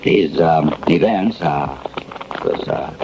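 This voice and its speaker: A man's voice: very deep voice, wildly swooping pitch, creaky, heavily acted. An actor playing a rattled, weary radio newsman who gropes for words, in a 1970s pseudo-documentary radio drama. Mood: weary, faltering. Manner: halting, faltering, groping for words. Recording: muffled, noisy old 1970s radio recording.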